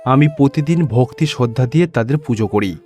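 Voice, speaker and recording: A man's voice: medium pitch, expressive, brisk, a little mellow, natural voice. Man voicing an earnest, pious cartoon schoolboy. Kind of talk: cartoon story dialogue.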